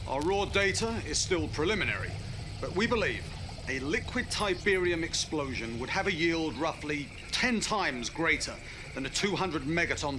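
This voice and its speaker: An actor playing an earnest research scientist in a game cutscene, explaining technical findings precisely and emphatically. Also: male voice, a little high, background noise.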